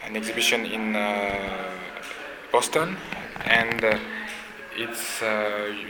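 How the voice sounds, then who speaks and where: A man interviews in a low, flat voice, pausing often as he searches for words. Loud ambient noise fills the background behind him. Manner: hesitant, searching for words, many fillers. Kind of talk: exhibition interview.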